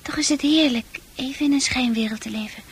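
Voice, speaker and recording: A high, youthful female voice, that of a troubled girl of eighteen. She speaks in a hesitant, wistful way, guarded yet longing to get away, in radio play style with faint music behind her. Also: brisk, breathy edge, heavily acted. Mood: wistful, melancholy. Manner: hesitant, wistful delivery with repeated words.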